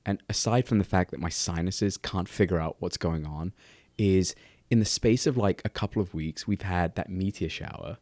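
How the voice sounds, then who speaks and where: A man co-hosting a casual podcast chat, getting over a cold, telling stories in a chatty, animated way and often repeating words as he goes. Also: deep voice, normal speed, dry recording. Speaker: podcast co-host.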